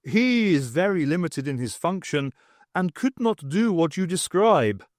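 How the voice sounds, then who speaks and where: A man's voice: on the high side, very animated, measured pace, a little mellow, dry recording, clean studio sound, pitched above the performer's own voice. A man voicing a haughty alien warrior leader in an audiobook, speaking formally and imperiously. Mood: coldly confident.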